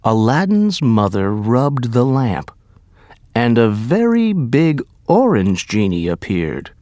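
A man narrating a scripted story for language learners in a careful, deliberate way. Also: mid-range voice, big pitch swings, dark tone, no room sound, studio quality.

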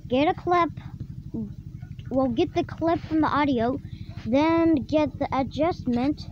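A tutorial host casually explaining software steps in a halting way, often repeating and rephrasing. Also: sits high. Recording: dull lo-fi microphone, clearly noisy.

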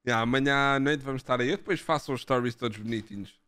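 A man's voice: mid-range voice, quick pace, bright, forceful tone, clear, resonant tone. A man in his thirties with a European Portuguese accent, hosting a live stream in casual, joking chat, swearing freely and laughing often, on a headset mic at home. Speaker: streamer host. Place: home streaming room, indoors.

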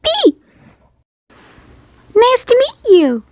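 A woman voicing an excitable, sweet small boy who blurts things out in a childlike way for an amateur comic dub. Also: very high voice, very slow, dry recording, studio quality, voice pushed up, full character voice. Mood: excited, fretful.

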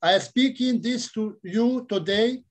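A man's voice: sits high, unhurried. A man in his sixties from Bosnia with a Bosnian accent, giving a prepared speech as an imam in formal, reverent phrasing over a video call. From Bosnia and Herzegovina. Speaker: imam and forum speaker. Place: indoors in a book-lined office, on a video call. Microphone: computer microphone on a video call.

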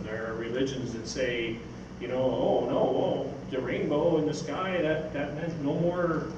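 A man's voice: mid-range voice, distant mic. Older man, rambling informal speaker, very echoey hall, noisy recording. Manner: rambling, anecdotal. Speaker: speaker, science fiction writer. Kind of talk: informal stage talk answering audience questions. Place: on stage in an event hall. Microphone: far camera microphone.

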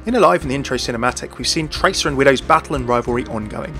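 A man's voice: mid-range voice, rapid-fire, fairly bright tone, soft background music. A man hosting a video, reading scripted commentary on a video game. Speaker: video host.

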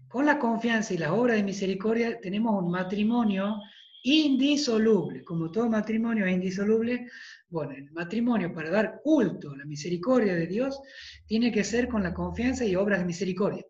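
A man's voice: high voice, darkish tone. Man, Tucumán Argentine accent, priest, emphatic repeated phrases, tired throat, roomy study. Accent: Tucumán Argentine Spanish. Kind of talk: devotional talk over video call. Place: study with bookshelves, indoors. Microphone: video call. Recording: roomy, dull video-call audio.

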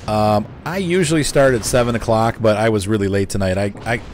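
A middle-aged man chatting casually on a game live stream, easygoing and quick to laugh, reading out viewer messages as he plays. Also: fairly low pitch, a little hiss or hum. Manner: chatty, easygoing, laughs often, reads chat aloud.

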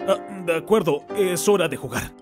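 A man's voice: a little high, expressive, normal speed, lightly acted. A man voicing an exasperated teenage schoolboy in an anime fan dub, dramatic and sarcastic. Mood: brooding. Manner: dramatic, sarcastic, prone to outbursts. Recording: music playing behind the voice.

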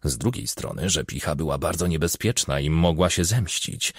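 Gravelly male audiobook narrator. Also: very deep voice, lively intonation, normal speed, no room sound, clean studio sound. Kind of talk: scripted narration of a crime novel.

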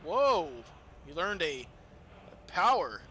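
A man's voice: high voice, takes it slowly, a little hiss or hum. A man giving casual, profane gameplay commentary as a let's play host. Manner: profane, animated trash-talk.

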